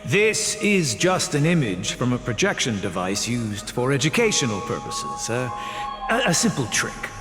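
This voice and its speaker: Game casting: actor as cautious scholarly teacher, male, formal, very lively intonation, music clearly behind. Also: mid-range voice, normal speed, natural voice. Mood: gently didactic. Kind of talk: dramatic video game voice acting. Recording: long hall reverb, music behind.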